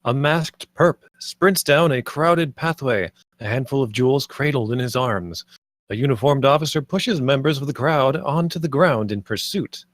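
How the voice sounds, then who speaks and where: A man's voice: mid-range voice, normal speed, no room sound. A man reading stage directions as scripted narration at a screenplay table read.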